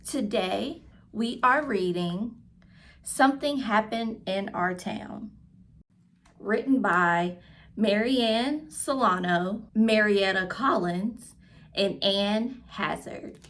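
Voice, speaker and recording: A young woman, a teacher, reading a children's storybook aloud in a deliberate manner for young listeners. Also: mid-range voice, a bit bright.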